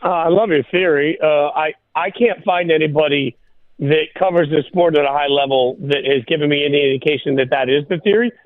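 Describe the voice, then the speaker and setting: A man's voice: fairly high pitch, a little fast, dry recording. A man speaking as a sports journalist guest, arguing his case with rhetorical questions over a thin phone-like radio line. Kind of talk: sports debate by call-in.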